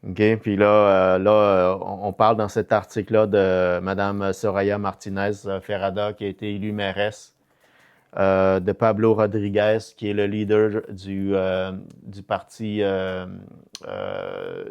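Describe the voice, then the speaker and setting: A man's voice: low-pitched, unhurried, vocal fry, clear, resonant tone, highs cut off. A middle-aged man with a Québécois French accent chatting casually on a podcast, hesitant and often repeating words as he searches for the next one. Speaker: podcast co-host or guest.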